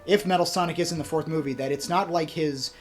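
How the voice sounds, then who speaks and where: Eager, medium-pitched podcast co-host. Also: fast talker, music in the background. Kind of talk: casual fan chat.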